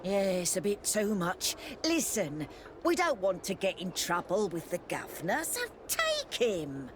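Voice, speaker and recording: An actor playing a sly, mocking debt-collecting thug in a video game. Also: male voice, high voice, huge pitch swings, measured pace, noisy background, exaggerated voice. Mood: backing down. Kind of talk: video game cutscene acting.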